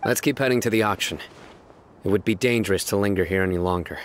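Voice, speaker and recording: Serious desert lawman for a video game, male actor: deep, calm, measured, methodical. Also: a little hiss or hum, lightly acted. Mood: calm, reasoning. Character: stern, calm, analytical male desert enforcer. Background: music.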